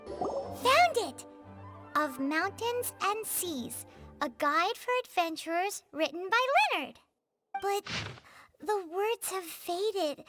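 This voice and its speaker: A woman voicing a tiny, chirpy, excitable childlike fairy companion in a video game, with a squeaky voice. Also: full character voice. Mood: disappointed. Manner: excitable, exclaiming, refers to self in third person. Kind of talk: video game character acting. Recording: music behind the voice.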